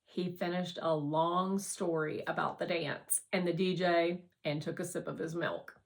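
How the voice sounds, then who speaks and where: A woman's voice: on the low side, steady tone, measured pace. A woman reading a children's novel aloud as scripted narration. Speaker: audiobook reader.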